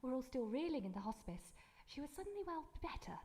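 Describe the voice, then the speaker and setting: Woman playing a high-voiced polite hospice receptionist. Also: very animated, fast talker, dark tone. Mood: amazed. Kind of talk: live radio drama acting. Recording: reverberant hall, live stage performance.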